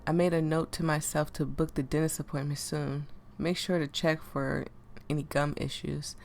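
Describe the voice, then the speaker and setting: A woman with a deep voice that sounds sick, chatting casually and reading game text aloud as a let's play host, easily amused and stumbling over words. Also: flat delivery, moderate pace, creaky, darkish tone, slight background noise. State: sick, voice affected.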